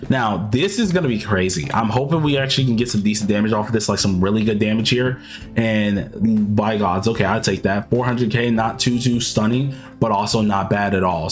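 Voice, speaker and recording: A man with a sick, hoarse-sounding voice, casually explaining his gameplay moves step by step. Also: fairly low pitch. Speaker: video game commentator. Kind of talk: gameplay walkthrough commentary.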